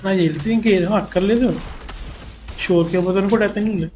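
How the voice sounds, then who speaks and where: A male streamer who rattles off commentary at a very fast clip, his voice sitting fairly high for a man. He chats and jokes to himself while playing. Faint game music plays behind him. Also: a little mellow. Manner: chatty, joking, self-talking. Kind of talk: casual live gameplay commentary.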